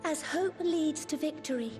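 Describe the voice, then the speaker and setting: Actress as regal female leader, high voice, mellow tone, formal measured oratory, fantasy game cutscene. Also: faint music behind, close to an everyday voice. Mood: proud, hopeful. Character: poised, resolute female leader of a fantasy city-state.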